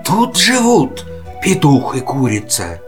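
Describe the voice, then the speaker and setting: A man playing a humble, weary, homeless tomcat servant with halting, theatrical delivery in a children's verse play. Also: fairly high pitch, wildly swooping pitch, faint music behind, heavily acted. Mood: hopeful.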